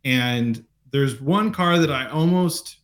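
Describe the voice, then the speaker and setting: Laid-back host, mid-pitched, rambling car talk with frequent restarts. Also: male voice, slow. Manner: relaxed, rambling, hesitant restarts. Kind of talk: casual car chat.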